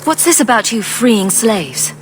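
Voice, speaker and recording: A woman's voice: fairly high pitch, sing-song, measured pace, a little hiss or hum. A woman playing a direct, composed adventurer for hire in a video game, speaking in a plain questioning manner. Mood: composed, inquiring. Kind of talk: video game dialogue acting.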